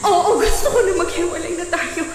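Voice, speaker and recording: A woman's voice: very high voice, steady tone, brisk. An actress playing an exasperated, defiant young wife and mother in an emotional radio drama. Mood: angry, anguished. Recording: very echoey hall with music behind.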